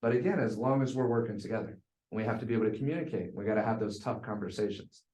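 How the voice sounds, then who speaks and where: A man's voice: on the low side, quick pace, warm tone. A man giving a coaching talk to a group, speaking bluntly and emphatically and repeating phrases for emphasis. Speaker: real estate sales coach. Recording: echoey room.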